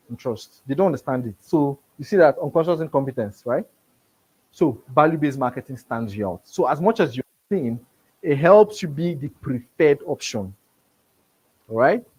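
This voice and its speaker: A man's voice: fairly high pitch, a little mellow, breathy edge, low-quality recording. A man with a Nigerian accent who stammers, repeating words as he teaches a live business seminar. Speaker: business trainer. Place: seminar room with a live audience.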